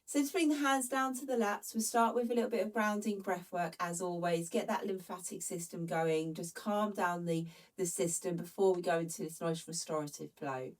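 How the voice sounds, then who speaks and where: Calm woman with stuffy nose. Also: fairly low pitch, normal speed, few pauses, recorded from a distance. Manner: calm, gentle cueing. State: congested from hay fever. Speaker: Pilates instructor. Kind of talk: guided follow-along workout instruction.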